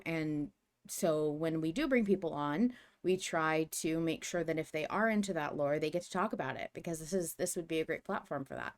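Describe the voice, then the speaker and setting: A woman podcast co-host with a somewhat low voice, chatting casually. She ribs her partner with playful jabs and drops the odd curse. When she makes her own points, she settles into earnest explanation. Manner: teasing and playful, swears casually.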